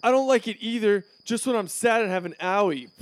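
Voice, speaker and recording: A man's voice: high voice, big pitch swings, normal speed, over music. A man playing a pampered, bratty male student in a comedy series, petulant and full of bluster. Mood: whiny, defensive.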